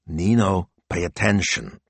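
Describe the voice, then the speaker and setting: Guy doing a brisk bossy italian wife for an audiobook, husky, swingy pitch, chiding. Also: sing-song, no echo, exaggerated voice. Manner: chiding, matter-of-fact, decisive.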